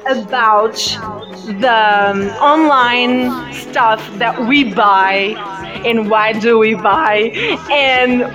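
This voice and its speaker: Slow, halting woman host with music behind. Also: on the high side. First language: Portuguese. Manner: halting, laughing often, many ums. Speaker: podcast host. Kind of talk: casual live chat.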